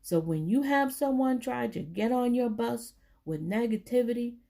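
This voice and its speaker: A woman reading a children's book aloud, with deliberate, emphatic phrasing for young listeners. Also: fairly high pitch, expressive, gravelly, warm tone. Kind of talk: storybook read-aloud for children.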